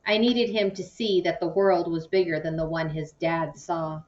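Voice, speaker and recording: A woman reading a children's novel aloud in an expressive, storytelling way, acting out the dialogue. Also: fairly low pitch, normal speed. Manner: animated, acts out dialogue. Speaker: audiobook-style reader. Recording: distant, muffled microphone.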